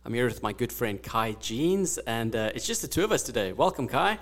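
A man whose first language is Afrikaans, co-hosting a faith podcast in a relaxed, reflective, friendly way. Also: medium pitch, fairly quick. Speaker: podcast co-host. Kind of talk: casual faith podcast chat.

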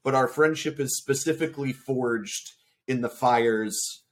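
A man's voice: medium pitch, a little slow. A man in casual podcast chat, talking in a meandering, reflective way.

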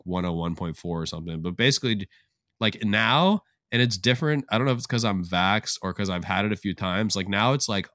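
A man's voice: low voice, a bit bright, no echo, studio quality. A man co-hosting a podcast, telling a long rambling story in casual chat, swearing freely and packing his talk with "like". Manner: profane, rambling storyteller with many "like" fillers. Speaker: podcast co-host.

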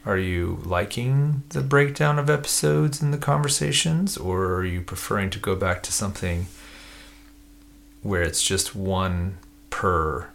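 A man co-hosting a podcast, chatting casually in a relaxed, self-deprecating way. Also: sits low, a little slow, gravelly. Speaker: podcast co-host. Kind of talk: casual chat.

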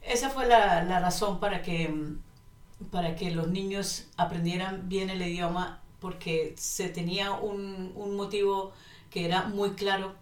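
A woman's voice: medium pitch, fairly even intonation, a little slow. A woman in her fifties, a guest on a podcast, speaking hesitantly and thoughtfully with many fillers and tag questions. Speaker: guest, economist and Spanish teacher. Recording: roomy, distant from the mic.

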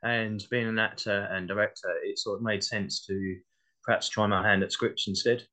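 A man's voice: lowish voice, level tone, normal speed, pushed and bright. A man speaking as an interview guest in a casual, modest and reflective way. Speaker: interview guest, playwright and actor.

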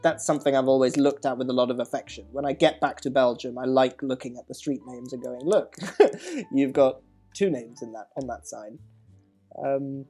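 A man's voice: mid-range voice, soft background music. Reflective man, casual podcast co-host. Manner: reflective, measured, with frequent pauses and soft laughs. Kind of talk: casual conversation.

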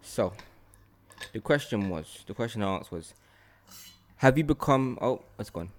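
A young man talks in casual podcast style. He is opinionated and animated, often repeating phrases to drive a point home. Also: fairly low pitch, a little slow, heavy background noise. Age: young adult. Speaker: podcast host.

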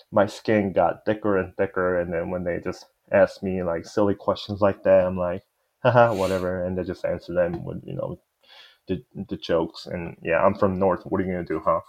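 A very low-voiced man with a Korean accent talks in an easygoing, chatty way, sprinkling his sentences with "you know". The recording sounds dull and lo-fi. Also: normal speed, vocal fry. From South Korea. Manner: easygoing, laughs often, fillers like "you know". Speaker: guest. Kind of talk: casual panel discussion.

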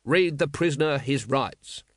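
A man acts out a storybook captain of the guard at a middling pitch. He is curt and weary, giving terse orders with tired authority. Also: measured pace, no echo, studio quality. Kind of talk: audiobook character acting.

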